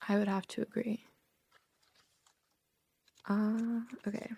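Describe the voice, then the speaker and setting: A woman with a mid-pitched voice in a very dry recording. She is a guest on a comedy show, reading written jokes aloud and dropping in casual comments of her own. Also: flat delivery, slow pace, gravelly, a little mellow, slightly rough, dry recording.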